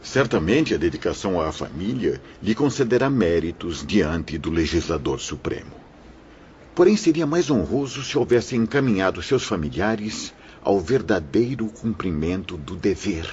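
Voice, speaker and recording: A grave, fatherly priest of an audio drama, preaching kindly and in measured phrases. His voice is deep and highly animated in its melody. The sound is muffled, with clear noise behind it. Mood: admonishing. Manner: paternal, measured, sermonizing. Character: kindly, grave priest guiding troubled souls.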